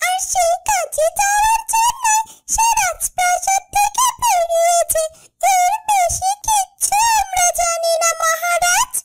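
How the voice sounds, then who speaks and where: A woman's voice: very high-pitched, fairly even intonation, measured pace. A woman voicing a small, loyal talking bird in a fairytale cartoon, pleading earnestly and respectfully. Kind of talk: dubbed cartoon fairytale acting. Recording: loud music behind the voice. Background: music.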